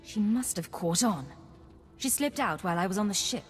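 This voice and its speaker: A woman playing a composed secret agent in a video game, measured and cool with a dry wit. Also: medium pitch, animated, close to an everyday voice. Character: composed, principled female secret agent, dryly witty. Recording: long reverb, music behind.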